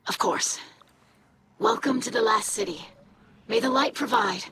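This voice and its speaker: A woman's voice: on the high side, lively intonation, measured pace, noisy background. A woman playing an eager, polite young alien scribe in a video game, speaking in an earnest, formal, bookish way. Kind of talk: video game cutscene acting.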